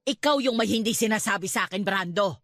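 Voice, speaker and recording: A woman's voice: mid-range voice, fast talker, fairly bright tone, soft background music, close to an everyday voice. An actress playing a stern, superstitious Filipino aunt who scolds her nephew emphatically in a radio drama. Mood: accusing.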